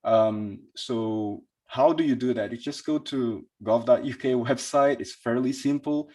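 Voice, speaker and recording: A young man from Portugal giving a conference talk about his career, speaking in a hesitant way with lots of pauses and restarts. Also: mid-range voice, dark tone. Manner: hesitant, many fillers and restarts.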